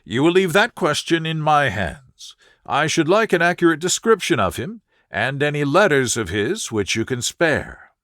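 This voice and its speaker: A man's voice: on the high side, very animated, normal speed, a bit bright, dry recording, clean studio sound. A man voicing a composed, authoritative male detective with a precise, assured, lecturing manner in an audiobook. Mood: businesslike. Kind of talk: audiobook character dialogue.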